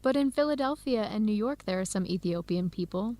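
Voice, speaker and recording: A woman's voice: mid-range voice, expressive, brisk, warm tone, natural voice. A woman playing a friendly young Ethiopian American grad student with an American accent in a radio drama, speaking in a conversational way. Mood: open, easygoing. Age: young adult. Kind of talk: educational radio drama acting.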